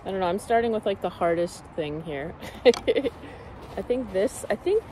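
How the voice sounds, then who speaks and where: A woman's voice: mid-range voice, expressive, unhurried, warm tone, slight background noise, rough recording. A young woman casually vlogging at a forest campsite, thinking aloud with lots of fillers as she films on a phone. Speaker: car-living vlogger. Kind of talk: casual vlog chat while camping. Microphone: handheld phone camera at arm's length.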